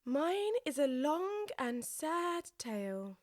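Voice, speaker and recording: A prim, touchy mouse speaks severely in a high, animated voice. The sound is close and dry, in a storybook audiobook style. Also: female voice, slow pace, no echo, exaggerated voice. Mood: grave. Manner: severe, indignant. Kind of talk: full-cast audiobook character acting.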